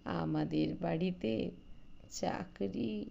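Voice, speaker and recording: A woman plays an elderly village grandmother from a storybook, tearful and doting. She pleads very slowly, in a soft, mellow tone. The sound is a little dull. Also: fairly low pitch, vocal fry, voice pushed down, full character voice. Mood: tearful pleading. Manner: slow, emotional pleading.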